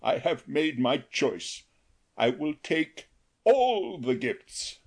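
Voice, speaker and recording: A woman reading a storybook aloud, voicing a huge, proud male sea crab who bargains stubbornly and slowly. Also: low voice, big pitch swings, breathy edge, voice pushed down, exaggerated voice.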